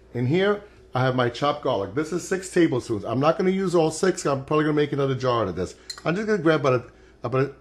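A man's voice: mid-range voice, slight background noise. An older man hosting a casual cooking demonstration in his home kitchen, chatting and encouraging viewers as he works. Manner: chatty, encouraging, talks while working. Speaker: cooking show host.

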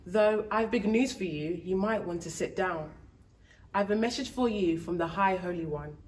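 A woman voicing a calm, reassuring angel messenger in a rhyming story, soothing and matter-of-fact. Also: mid-range voice, normal speed, recorded from a distance, lightly acted.

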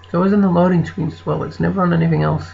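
A man from Britain with a croaky voice from an infection, hosting a let's play in casual, rambling commentary. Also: fairly high pitch, steady tone, rapid-fire, a little mellow, muffled recording, slight background noise. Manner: rambling, self-correcting.

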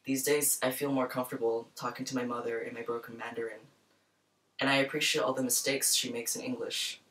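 A young woman hosting a program, speaking in a soft, thoughtful, personal way in a small room. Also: very deep voice, flat delivery, a little fast, distant mic, poor audio. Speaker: program host. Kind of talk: reflective spoken introduction. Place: small bright room with plants, indoors.

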